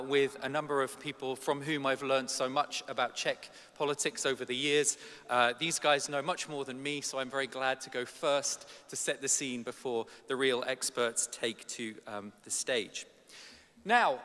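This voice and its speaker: A man's voice: medium pitch, sharp tone. A middle-aged man giving a conference lecture, with frequent hesitant ums as he goes. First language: English. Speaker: academic conference speaker. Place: large ornate hall indoors. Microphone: gooseneck podium microphone. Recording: reverberant large hall.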